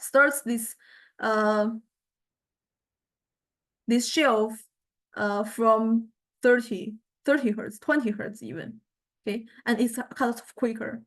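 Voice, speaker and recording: Female lecturer, slightly high voice, informal software demo, tentative delivery with pauses to find words. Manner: hesitant, thinking aloud, searching for words. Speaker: music technology lecturer.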